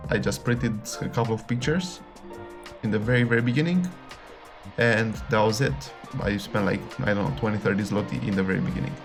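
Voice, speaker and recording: A man's voice: on the low side, fairly quick, gravelly. A man in his late twenties speaking casually to camera, rambling and repeating words as he searches for them. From Romania. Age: late 20s. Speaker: YouTuber. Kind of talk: casual direct-to-camera vlog. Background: background music.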